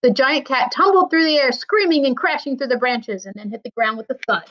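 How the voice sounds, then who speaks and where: Woman, school librarian, expressive children's read-aloud, higher-pitched voice, slightly muffled lo-fi recording. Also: brisk.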